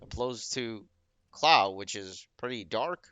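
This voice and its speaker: A man's voice: mid-range voice, animated, takes it slowly, sharp tone, dry recording. A man giving casual, off-the-cuff commentary on game cutscenes, explaining conversationally with rambling asides.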